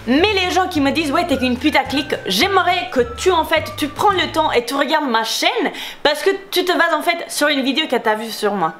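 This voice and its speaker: A woman's voice: sits high, expressive, fairly quick, a bit bright, faint music behind. A young woman from Spain with a Spanish accent, speaking French as a beauty vlogger, heated and repetitive as she answers comments. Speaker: beauty YouTuber. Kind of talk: casual vlog, replying to comments.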